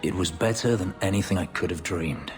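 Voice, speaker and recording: Deep-voiced brooding elf. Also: male voice. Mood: anguished, regretful. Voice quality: deep, low voice. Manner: halting, solemn, measured delivery. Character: brooding, guarded male elf, an escaped former slave. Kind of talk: dramatic video game dialogue. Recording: reverberant hall sound with music underneath.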